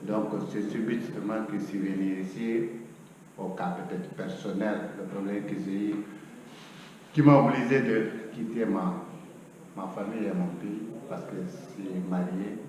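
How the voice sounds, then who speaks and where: A middle-aged man whose native language is Portuguese, giving a halting personal account in a learned language as an interviewee. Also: fairly low pitch, fairly even intonation, darkish tone. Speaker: interviewee, undocumented migrant. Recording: distant, echoey hall with clear noise.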